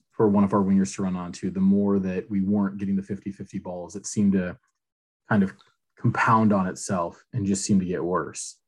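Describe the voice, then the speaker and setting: A man co-hosting a casual sports chat, giving measured, thoughtful takes. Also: low voice, slightly rough. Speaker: podcast co-host.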